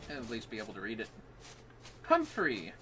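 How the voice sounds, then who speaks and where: A man's voice: on the low side, wildly swooping pitch, moderate pace. A man casually commentating on a video game as a livestream co-host. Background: game music.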